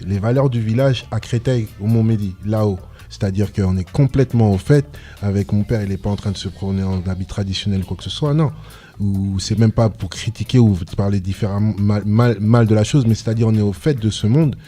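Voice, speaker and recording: Man on a radio show talking about his roots, low mellow voice, earnest, kinda rambling, faint music under it. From France, Congolese descent. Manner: earnest, passionate, rambling with restarts. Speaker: reggae artist guest. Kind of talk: casual radio interview.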